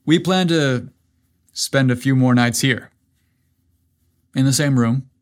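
A man's voice: medium pitch, slow, lightly acted. A man as an easygoing, smitten best man. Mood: thrilled.

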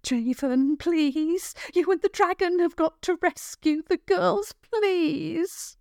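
Woman acting a high, frantic village mother, pleading. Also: slow, a little mellow, no echo, clean studio sound, exaggerated voice. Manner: urgent, pleading.

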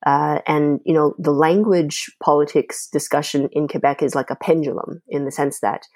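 A woman's voice: low voice, steady tone, normal speed, darkish tone, dry recording. A young woman from China, a Mandarin native speaker, talking thoughtfully in an interview with many fillers and restarts. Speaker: podcast host, guest. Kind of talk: casual interview talk.

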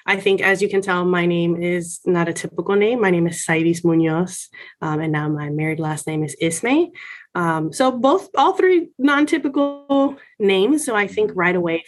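A woman with a fairly low voice answers questions at an easy conversational pace. She pauses on little ums as she gathers her thoughts, and she sounds open and sincere. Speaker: interview guest.

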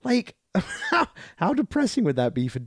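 A man chatting casually as a podcast co-host, animated and jokey, often acting out imagined quotes. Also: very high voice, normal speed, husky, dry recording, clean studio sound. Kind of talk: casual chat, film and games banter.

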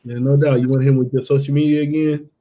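A man hosts a podcast and jokes around with his guest. He talks fast with a mellow, dark tone, tossing off quick questions and wrap-ups. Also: medium pitch, level tone, poor audio. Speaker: podcast host, interviewer. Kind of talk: casual interview chat.

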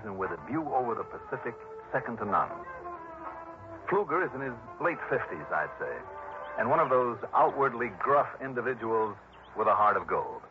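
A man's voice: medium pitch, natural voice. An American man playing a breezy, wry insurance investigator who narrates his case in a 1960s detective radio drama. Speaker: lead actor and narrator. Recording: muffled old radio broadcast, music.

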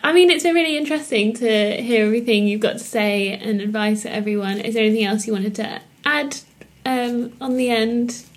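A woman's voice: fairly high pitch, brisk, hardly any pauses. A woman hosting a podcast, encouraging her guest and laughing often. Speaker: podcast host. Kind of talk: casual interview chat.

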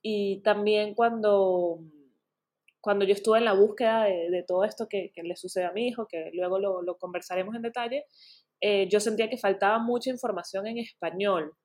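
An earnest woman guest talks through her story in a relaxed interview, her voice sitting at an everyday middle pitch. She often repeats the start of a phrase before going on, and her sentence endings fade softly. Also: normal speed. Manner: earnest, often restarts words, soft trailing endings. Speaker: naturopath guest. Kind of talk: casual interview chat.

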